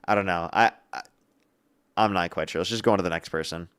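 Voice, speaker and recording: Young man, stream host, rapid-fire talk, fairly low voice, brisk stock phrases. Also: a bit bright, clear, resonant tone. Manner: brisk, repeats stock lines to viewers. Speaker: livestream debate host. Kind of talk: live-stream call-in chat.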